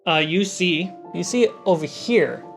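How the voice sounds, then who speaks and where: Game master, tabletop narration, middle pitch, descriptive, music underneath. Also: expressive, a little slow, creaky.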